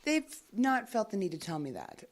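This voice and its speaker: An actress playing a guarded, businesslike investigator in an audio drama, matter-of-fact and evasive. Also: female voice, medium pitch, very animated, normal speed. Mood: measured, explanatory. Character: guarded, businesslike female investigator working undercover.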